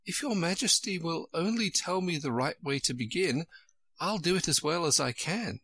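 A man voicing Alice, a polite and timid young girl, in a gently raised voice. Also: a little high, animated, moderate pace, husky, no echo, pitched above the performer's own voice, heavily acted.

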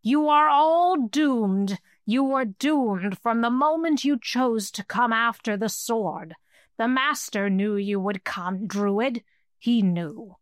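A woman's voice: a little high, takes it slowly, dry recording, studio quality. A woman voicing a hissing skull-bearer monster. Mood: scornful. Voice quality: hissing, rasping.

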